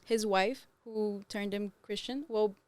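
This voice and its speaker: A woman's voice: medium pitch, fairly even intonation, takes it slowly, darkish tone, no echo. A woman of twenty from Ecuador, talking casually about herself as a podcast guest. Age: 20s. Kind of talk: casual personal conversation.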